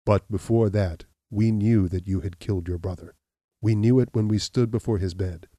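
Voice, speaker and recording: A man voicing a stern, implacable accuser who speaks in a deep, level, measured way. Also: dry recording, clean studio sound, lightly acted. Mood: accusing. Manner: calm, measured, unyielding. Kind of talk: audiobook reading.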